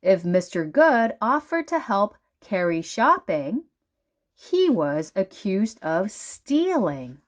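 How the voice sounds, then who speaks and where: A woman reading a children's storybook aloud as narrator, with deliberate, dramatic emphasis. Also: medium pitch, sing-song. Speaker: children's book reader.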